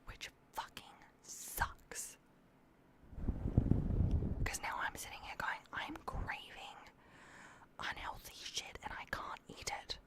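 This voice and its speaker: A young adult woman chatting casually to livestream viewers in a hushed, breathy whisper with creaky edges, keeping her voice down. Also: takes it slowly, long pauses. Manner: keeping voice down late at night, swearing freely.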